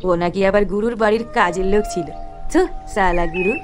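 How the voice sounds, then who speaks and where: Fast-talking cartoon villain, a crude male gang boss played by a woman, gloating and mocking as he speaks. He sounds sure nobody can stop him. His lines are heard over loud music. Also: mid-range voice, close to an everyday voice. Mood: sneering. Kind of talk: homemade cartoon voice acting.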